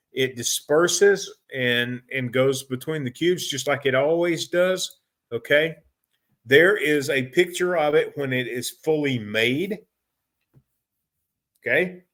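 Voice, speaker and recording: A folksy middle-aged man at the front of a repair technicians' training class, haltingly talking colleagues through a job and circling back over his phrases. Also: medium pitch, fairly bright tone. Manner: folksy, halting, repeats and rephrases himself. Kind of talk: informal training lecture.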